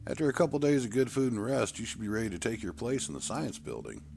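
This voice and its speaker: A man voicing a brisk, authoritative male city leader in a story, condescending yet courteous. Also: mid-range voice, sing-song, creaky, a little mellow, faint music behind, pitched above the performer's own voice. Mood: pleased, firm. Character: brisk, authoritative elder brother running an underground city.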